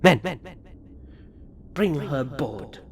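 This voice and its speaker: A man's voice: mid-range voice, lively intonation, drawn-out words, a little hiss or hum. A man playing a sinister gang boss in a fantasy ASMR roleplay, commanding, mock-polite and gloating. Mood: mock-polite menace. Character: sinister male hunter and gang boss, menacing, taunting.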